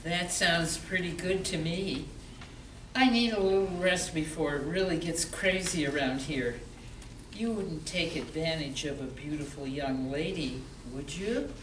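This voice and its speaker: Woman playing a coy flirt, lowish echoey voice. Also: unhurried. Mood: coy, flirty. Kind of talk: amateur community theatre reading. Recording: echoey distant mic, noticeable background noise.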